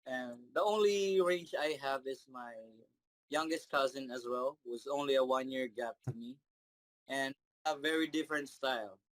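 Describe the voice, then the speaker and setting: A young man, answering interview questions in a shy, halting way with many fillers. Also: mid-range voice, no room sound, poor audio. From Philippines. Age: 20s. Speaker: interview guest, singer.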